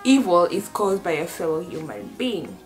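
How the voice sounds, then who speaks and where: A woman's voice: on the low side, animated, normal speed, soft background music. A young woman hosting a casual vlog from her bedroom, playful and laughing often as she teaches phrases. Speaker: vlogger host. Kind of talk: casual chat. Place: bedroom, indoors.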